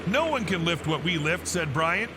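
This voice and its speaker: A man reading scripted narration for a military news video in an even, formal tone. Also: a little high, moderate pace. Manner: even, formal, informational delivery. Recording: narration over loud vehicle noise.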